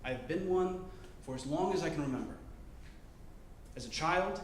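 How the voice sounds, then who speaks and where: A man in his twenties telling a story on stage, choosing and enunciating each word carefully, with deliberate pauses. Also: fairly high pitch, animated, a little hiss or hum. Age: 20s. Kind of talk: live storytelling performance. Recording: roomy live-venue sound.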